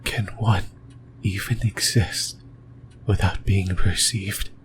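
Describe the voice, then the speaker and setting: A man voicing a lonely, possessive sleep paralysis demon in a creepy, intimate ASMR monologue, whispering breathily and haltingly close to the mic. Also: deep voice, flat delivery, slight background noise. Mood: eerie, musing. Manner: slow, halting, rambling, intimate. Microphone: close microphone, whispering into the ear.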